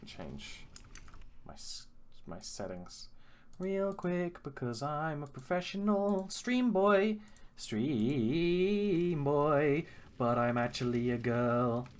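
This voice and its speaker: A man's voice: on the high side, expressive, slow. A young man streaming his first run of a game, chatting casually and joking at his own expense. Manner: playful, jokey, self-mocking. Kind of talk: casual gameplay commentary.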